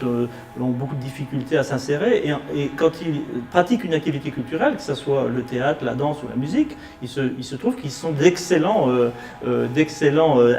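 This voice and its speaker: Male, middle-aged, halting interview delivery, echoey room with guitar music underneath. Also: medium pitch, creaky, darkish tone. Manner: halting, reflective, searching for words. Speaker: cultural youth worker. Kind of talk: documentary interview, unscripted. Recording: roomy echo, music underneath.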